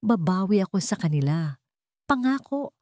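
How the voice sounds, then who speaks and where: A woman storyteller voicing a determined, guarded businesswoman in a romantic drama, measured and earnest. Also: medium pitch, very animated, dark tone, no echo, studio quality, natural voice. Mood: awkward, reassuring.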